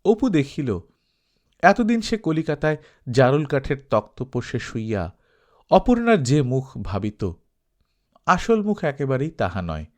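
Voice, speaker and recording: A man narrating literary fiction as an audiobook reader, with expressive storytelling. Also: medium pitch, measured pace, long pauses.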